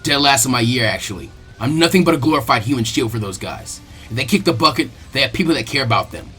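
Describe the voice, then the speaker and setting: A man's voice: medium pitch, expressive, fast talker, fairly bright tone, voice pushed down, close to an everyday voice. A man voicing a brash, stubborn, lonely boy ninja in a story reading, blunt and self-deprecating. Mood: bitter resignation. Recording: music behind the voice.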